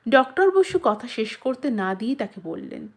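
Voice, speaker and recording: Female audiobook narrator, higher register, very animated intonation, measured phrasing with dramatic pauses. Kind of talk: expressive scripted narration of a literary short story.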